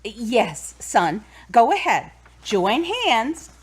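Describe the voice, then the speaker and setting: A woman acts a warm mother of a grown son in an audio drama. Her voice sits fairly high and moves expressively, at a slow, easy pace, with gentle motherly teasing. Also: sing-song, slightly rough, exaggerated voice. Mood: fond, gentle.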